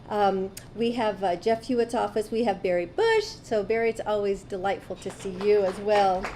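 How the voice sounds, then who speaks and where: A woman's voice: a little high, mellow, a little hiss or hum. A woman emceeing a student awards breakfast, graciously thanking volunteers and guests one by one. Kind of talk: ceremony announcements.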